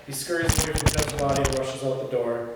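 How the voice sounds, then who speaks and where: A man's voice: medium pitch, fast. A man reading stage directions and chatting casually at a script table read, recorded indoors from a distance. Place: indoor room, reverberant, mic at a distance. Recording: echoey room from far off, faint noise.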